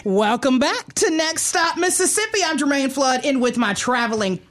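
A woman hosts a casual radio show. She is chatty and playful, moving between banter and announcements in a lively, easygoing way. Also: high voice, normal speed. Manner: playful, chatty, laughs easily.

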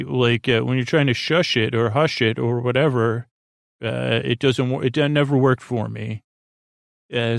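Creaky-voiced man rambling gently at bedtime. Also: medium pitch, no room sound, clean studio sound. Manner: meandering, halting, trails off mid-thought; soothing, gentle, whimsical. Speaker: podcast host. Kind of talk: rambling bedtime monologue to the listener.

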